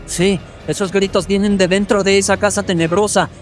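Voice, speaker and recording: A man's voice: sits high, flat delivery, moderate pace, over music, pitched above the performer's own voice, lightly acted. A man voicing an anxious, pious night watchman of colonial times in a horror radio drama. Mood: frightened.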